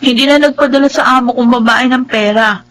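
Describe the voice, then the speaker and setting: A woman with a Filipino accent acting a chatty young housemaid and single mother in a radio drama, expressive and emotive. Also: high voice, brisk, poor audio. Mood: chatty, hopeful. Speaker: radio drama lead actress. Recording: music bed under the voice.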